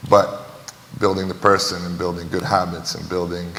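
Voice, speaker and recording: Panelist, man from Romania, very low and level delivery, blunt and earnest, long hall reverb. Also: flat delivery, moderate pace, fairly bright tone. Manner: earnest, blunt, repeats phrases. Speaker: panelist, basketball entrepreneur. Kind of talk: panel discussion answers. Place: large hall, live panel. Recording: echoey hall, faint room noise.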